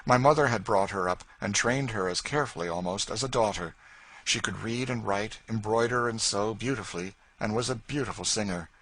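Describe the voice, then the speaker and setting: A man reading an earnest young gentleman. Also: fairly low pitch, brisk, pitched above the performer's own voice, low-quality recording. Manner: earnest, warm-hearted recounting. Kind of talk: audiobook character voice.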